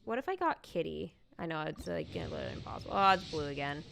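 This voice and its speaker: A woman hosting a live game stream in casual, excitable chat, thinking aloud as she goes. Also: low-pitched, quick pace, vocal fry, a little hiss or hum. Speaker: livestream host, gamer.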